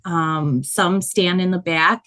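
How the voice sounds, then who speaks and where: Loud animated woman with a low-set voice. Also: slow pace. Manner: animated, laughs often. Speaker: guest, body painter. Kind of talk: casual storytelling interview.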